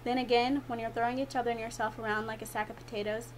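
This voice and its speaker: A woman's voice: a little high, level tone, quick pace, background noise. A young woman delivering a rehearsed informative speech as a student, in a recited, emphatic manner. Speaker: student speaker.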